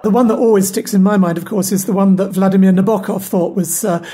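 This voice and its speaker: A woman's voice: mid-range voice, steady tone, quick pace, mellow, slightly rough. A woman talking as a panelist in a literary discussion. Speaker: panel participant.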